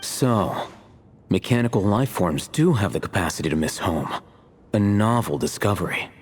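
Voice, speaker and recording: Detached male scholar for a game, male actor: low register, dry, cool, matter-of-fact. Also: lively intonation, moderate pace, a little hiss or hum, close to an everyday voice. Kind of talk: video game voice acting.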